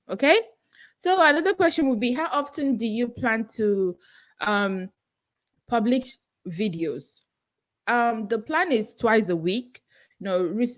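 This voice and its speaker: Podcast host, young woman, heavy Nigerian accent, earnest solo Q&A with lots of "um" and "you know.". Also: mid-range voice. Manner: earnest, self-encouraging, frequent fillers. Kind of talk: solo livestream Q&A.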